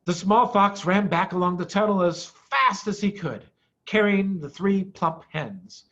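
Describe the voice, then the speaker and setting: A middle-aged man reading a children's story aloud expressively, with deliberate dramatic emphasis. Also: sits high, lively intonation, fairly bright tone. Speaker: storybook reader, head of school. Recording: dull, muffled lo-fi audio.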